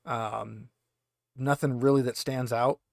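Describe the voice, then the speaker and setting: A man's voice: medium pitch, fairly even intonation, slow, gravelly, no echo. Man running a tabletop game, dry close sound. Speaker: game master. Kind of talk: casual improvised tabletop game narration.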